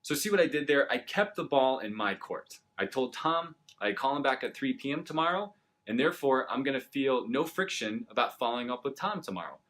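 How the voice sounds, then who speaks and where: A man with a mid-pitched voice presents a short instructional tip as a business coach. He talks directly to the viewer in a conversational, explanatory way. Now and then he checks in with a brief rhetorical question. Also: animated, fairly quick, a bit bright. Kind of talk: instructional sales tip to camera.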